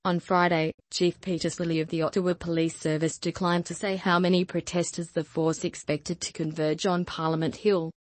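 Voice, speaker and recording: A woman reads the news in a low, steady voice with almost no rise and fall. Her delivery is even and scripted, like a newsreader. The voice-over is dry and close to the mic. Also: moderate pace, few pauses, no echo. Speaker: news reader.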